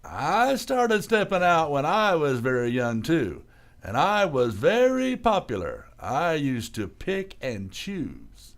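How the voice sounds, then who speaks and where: A man's voice: on the high side, huge pitch swings, slow pace, exaggerated voice. A man playing a grumbling, put-upon working-class husband and father in an old-time radio comedy, with blustering, plain working-man speech. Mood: proud, wistful.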